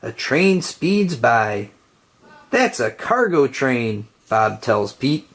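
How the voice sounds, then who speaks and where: A woman reading a children's picture book aloud in a gentle storytelling way. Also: breathy edge. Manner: slow, gentle storytelling with lively pitch. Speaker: storybook reader.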